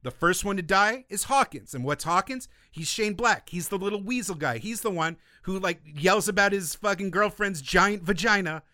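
Bright, animated man hosting a podcast. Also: sits high, normal speed, few pauses, no echo, clean studio sound. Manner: animated storyteller, swears freely. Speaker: podcast co-host. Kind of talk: casual film podcast chat.

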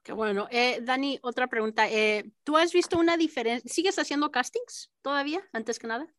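A woman interviewer asking questions in a lively, engaged way. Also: high-pitched, brisk, no room sound, clean studio sound.

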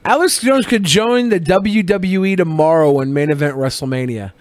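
A high male voice, recorded dry and close. He talks like a podcast co-host, opinionated and emphatic, swearing freely as he comments. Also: normal speed, dry recording. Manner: profane, emphatic. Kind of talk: opinionated casual banter and commentary.

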